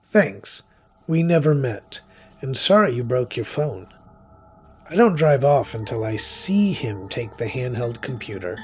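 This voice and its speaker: An actor playing a wry, secretive male witch who narrates a horror-thriller audio drama in a theatrical, deliberate manner. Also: mid-range voice. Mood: wry, brisk, then cool, secretive. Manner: wry, theatrical, deliberate, with sudden swells and drops. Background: music under the voice.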